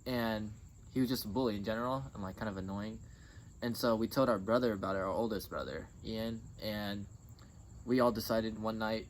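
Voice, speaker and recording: A man's voice: on the low side, fairly even intonation, brisk. A young man telling a story casually, in a laid-back, matter-of-fact way. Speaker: interview guest. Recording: noisy, with clear background noise.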